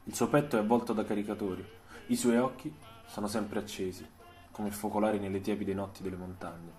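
A man reading a scripted literary story for radio, in a measured, dramatic storytelling way. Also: lowish voice, steady tone, darkish tone, faint music behind.

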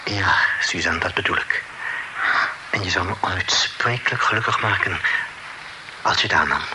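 An actor playing a formal, slightly hesitant young gentleman who likes French words, in a radio drama. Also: sits low, normal speed, lightly acted. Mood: tender. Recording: noisy old radio broadcast.

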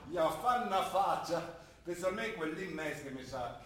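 A man acting a gruff older father from the mountains, with a Trentino accent and dialect-laced, terse talk, in a staged theatre drama. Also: sits high, lively intonation, measured pace, a bit bright. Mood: teasing, proud. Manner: dialect-laced, teasing then withdrawn and terse. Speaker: stage actor. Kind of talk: theatre drama broadcast on radio. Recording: roomy stage sound with faint noise.

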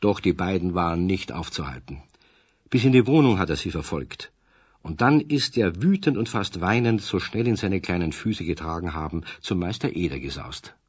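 Low, husky storyteller. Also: male voice, animated, moderate pace, a little mellow. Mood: engaged storytelling. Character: friendly storyteller addressing children. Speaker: narrator. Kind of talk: scripted children's story narration. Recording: dull 1970s radio recording.

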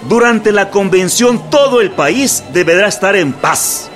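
An actor playing an insistent Mexican revolutionary delegate, declaiming emphatically to an assembly in a radio drama. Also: male voice, high voice, lively intonation, a little slow, a bit bright. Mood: emphatic, demanding. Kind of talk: historical radio drama acting. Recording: crowd and music behind.

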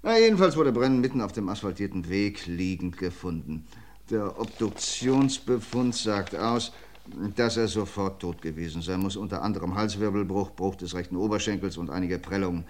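An actor playing a methodical police inspector with dry wit, in 1950s radio crime drama style, measured and reading out case files. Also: male voice, deep voice, animated, slight background noise. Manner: measured, reads out files, wry asides.

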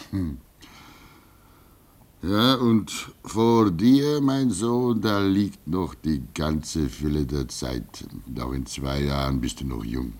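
An actor playing a gentle, devout older man in a German radio play, fatherly and reflective, with halting pauses. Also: low voice, exaggerated voice. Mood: wistful. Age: 50s.

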